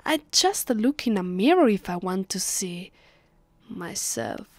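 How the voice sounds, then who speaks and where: A woman with an Italian accent playing a haughty, teasing ancient vampire mistress in a soft, half-whispered ASMR roleplay, condescending and commanding. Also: medium pitch, big pitch swings, a little slow, no room sound. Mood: impatient, commanding. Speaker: voice actress. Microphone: close, dry microphone.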